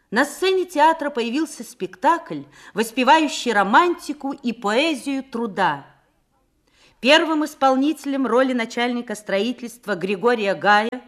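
Narrator: a woman with a raised, expressive voice, solemn and formal. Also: on the high side. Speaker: documentary narrator. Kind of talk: scripted narration.

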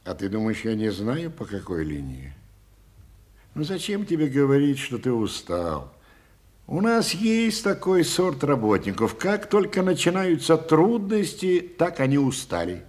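Stage role: a blunt lecturing official, mid-pitched and animated. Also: male voice, unhurried. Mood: firm. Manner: low, firm, lecturing tone. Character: male senior Soviet official, commanding and blunt. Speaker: stage actor in an archive theatre scene.

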